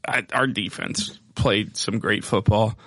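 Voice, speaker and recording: A man co-hosting a casual sports chat, talking in bro-ish slang, his voice a little hoarse from yelling. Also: on the low side, takes it slowly, no echo. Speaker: podcast co-host.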